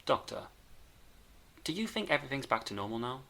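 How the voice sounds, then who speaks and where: A man's voice: mid-range voice, very animated, fairly quick, pushed and bright, full character voice. A man playing a curious, teasing young companion of a time traveller in an audio drama, casual and questioning. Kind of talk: fan-made audio drama acting. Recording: clear background noise, ambience.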